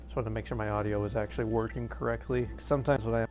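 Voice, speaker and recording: A man's voice: lowish voice, steady tone, very fast, a little mellow, dull audio, soft background music. A man streaming a game, chatting casually and hesitantly into his own microphone, with lots of fillers and restarts. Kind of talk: casual live-stream chat. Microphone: own plugged-in streaming microphone.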